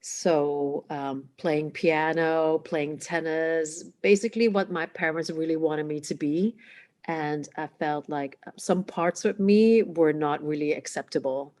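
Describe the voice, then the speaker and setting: A woman coach from the Netherlands whose first language is Cantonese answers interview questions about her upbringing. Her voice sits low. She speaks reflectively, with frequent hesitant "um" pauses. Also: darkish tone. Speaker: guest, emotional awareness coach.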